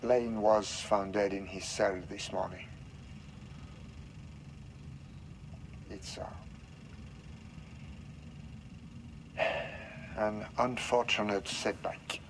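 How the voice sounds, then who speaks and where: An actor playing a vain, resentful male lab director in a video game, with a French accent and a pompous, theatrical, halting delivery. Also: lowish voice, steady tone, background noise. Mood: subdued, halting. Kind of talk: dramatic video game acting. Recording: music behind voice.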